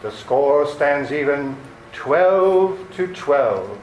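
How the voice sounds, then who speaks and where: Casting: male actor as menacing, bitter radio-play villain, lightish pitch, roomy recording with faint hiss. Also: lively intonation, very slow. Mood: menacing, smug. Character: sinister, bitter killer nephew, smugly menacing. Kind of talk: 1940s radio comedy acting.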